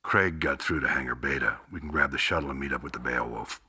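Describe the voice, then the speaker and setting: An actor playing a gruff, resolute military captain in a video game cutscene, speaking tersely and with command. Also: male voice, rumbling low, quick pace, dry recording, studio quality, heavily acted. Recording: loud game music over the voice.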